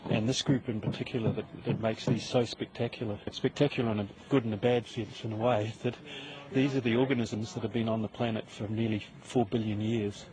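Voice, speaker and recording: A man's voice: on the low side, steady tone, fairly quick, a crowd in the background, rough recording. A man speaking as a university scientist, explaining his subject in an outdoor field interview. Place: outdoors on a lake shore. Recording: faint background noise outdoors.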